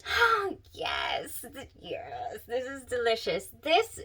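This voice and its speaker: Stuffed-up woman vlogger, nasal, gushing with breathy sighs, bright tense tone, slightly off-mic. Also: a little high, huge pitch swings, slow pace, distant mic. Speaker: perfume reviewer, channel host. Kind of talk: casual talk to camera.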